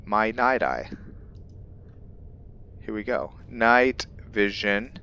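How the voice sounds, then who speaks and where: A man giving casual let's play commentary as he roleplays, rambling and halting as he thinks aloud. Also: lowish voice, very animated, gravelly, pushed and bright, clear, resonant tone, dull audio. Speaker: gaming video host, roleplayer.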